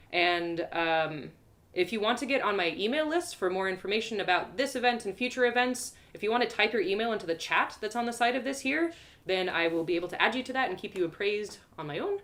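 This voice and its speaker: A woman's voice: mid-range voice, fairly bright tone. An American woman talking casually as storyteller and host to a live online audience on a video call.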